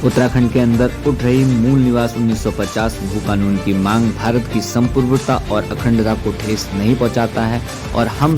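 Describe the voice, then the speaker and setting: A man presents a political explainer as an activist, speaking earnestly in formal diction. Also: mid-range voice. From Uttarakhand, India. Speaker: activist commentator. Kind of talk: political explainer to camera or voice-over.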